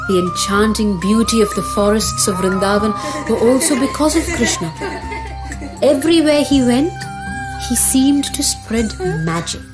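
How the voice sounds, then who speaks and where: A woman reads a scripted story for children as its narrator. Her voice is pitched a little high. Music runs clearly behind the narration. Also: moderate pace, poor audio.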